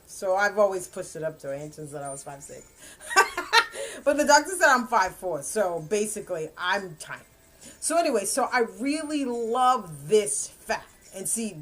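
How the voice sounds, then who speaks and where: A woman's voice: medium pitch, pushed and bright. A woman in her fifties giving a rambling, casual book review, often correcting herself and laughing. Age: 50s.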